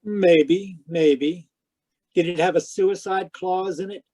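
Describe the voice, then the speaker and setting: An actor playing a wry, deadpan private detective, talking and narrating in an old-time radio drama. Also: male voice, high-pitched, slow pace, dry recording. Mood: questioning, wry. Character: wry hard-boiled private detective, Sam Spade. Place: live online performance over video call.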